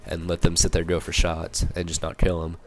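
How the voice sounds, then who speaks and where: A young man with a cold, hoarse and a little raspy, rambling through casual gameplay commentary with many fillers and restarts. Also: low voice, monotone, brisk. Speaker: gaming channel commentator.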